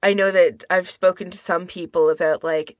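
A woman's voice: on the low side, steady tone, normal speed, no echo. A woman co-hosting a podcast in casual discussion, talking tentatively and carefully qualifying what she says. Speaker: podcast co-host.

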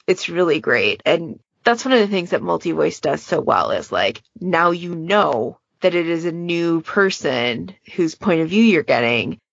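Woman podcast panelist, lowish voice with vocal fry, conversational delivery, lo-fi recording. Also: big pitch swings, unhurried, hardly any pauses, no echo. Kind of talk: casual chat, explaining recommendations. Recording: dull lo-fi audio.

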